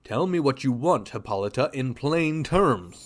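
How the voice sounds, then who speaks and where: A man's voice: mid-range voice, lively intonation, a little slow, slight background noise, lightly acted. An actor playing a stern, earnest male superhero in a 1940s-style radio drama. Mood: demanding.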